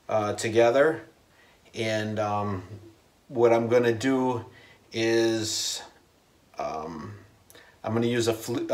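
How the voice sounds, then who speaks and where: A man in his forties giving a casual how-to explanation to camera in a plain, unhurried, halting way. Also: mid-range voice. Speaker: DIY video host. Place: inside a small unfinished wooden cabin with a steel ceiling.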